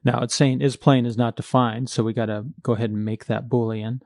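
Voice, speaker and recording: Male coding instructor, mid-pitched with noticeable vocal fry, informal and hands-on, close dry sound. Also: level tone, a little fast, darkish tone, no room sound. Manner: informal, thinking aloud while working. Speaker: programming tutorial instructor. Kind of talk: step-by-step coding screencast narration.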